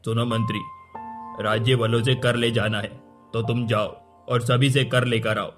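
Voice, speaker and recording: A man acts out a firm, generous cartoon king. He speaks in a flat, even mid-range voice with regal command, and music plays beneath him. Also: medium pitch, normal speed, close to an everyday voice. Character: principled, generous king, male, firm and authoritative. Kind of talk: Hindi cartoon story acting. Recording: music under the voice.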